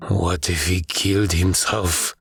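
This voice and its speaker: Man playing a deep, morose, broken soldier. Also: steady tone, slow pace, vocal fry, a bit bright, faint music behind, full character voice. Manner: hesitant, heavy, mumbling. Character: morose, broken, haunted dead male soldier. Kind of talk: dramatic audiobook character voice.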